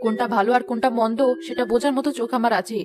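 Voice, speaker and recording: A woman's voice: a little high, fairly even intonation, racing, over music, natural voice. A woman voicing an exacting middle-aged village customer in a moral cartoon, speaking frankly, firmly and politely. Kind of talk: moral-story cartoon dialogue.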